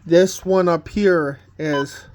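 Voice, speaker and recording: Male hobbyist vlogger, lightly raised pitch, very unhurried and halting, plain-spoken casual walk-through at home. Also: steady tone, darkish tone, a little hiss or hum. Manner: halting, plain-spoken, pausing mid-sentence. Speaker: hobbyist vlogger showing a collection. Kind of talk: casual unscripted home video tour. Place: indoors at home, walking between rooms.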